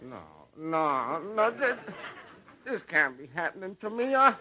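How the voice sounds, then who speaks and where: An actor in a 1950s radio comedy playing an exasperated store clerk, whiny and stammering, on the edge of hysteria. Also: male voice, sits high, sing-song, measured pace, sharp tone, heavily acted, poor audio. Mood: hysterical despair. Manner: whiny, stammering, near breakdown. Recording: old 1950s radio recording.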